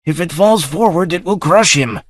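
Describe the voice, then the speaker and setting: A man's voice: fairly high pitch, animated, moderate pace, no room sound, pitched above the performer's own voice. A man voicing a cautious desert nomad who raises objections in a raised voice. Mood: worried.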